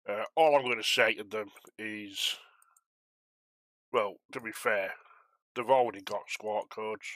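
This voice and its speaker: A man's voice: lowish voice, steady tone, a little slow, fairly bright tone, no echo. A man chatting casually on a flight simulation stream, rambling and self-deprecating as he talks to viewers while controlling air traffic.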